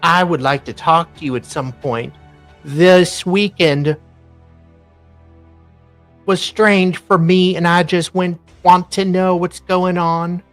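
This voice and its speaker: A woman co-hosting a livestream, reading messages aloud in a halting, deliberate way with casual reactions. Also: on the low side, fairly even intonation, no echo. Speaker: livestream co-host. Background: music.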